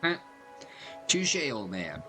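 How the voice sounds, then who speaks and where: A man's voice: mid-range voice, sing-song, slow, bright, forceful tone. A man giving casual, slangy, joking commentary as he plays through a video game. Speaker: gaming video creator. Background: game music.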